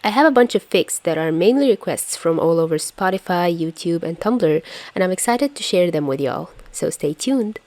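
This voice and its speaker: A woman's voice: on the low side, expressive, normal speed, a little mellow, no echo. A woman who reads fan fiction aloud as host of her own channel. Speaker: podfic reader and channel host. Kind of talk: narration of fan fiction and casual updates.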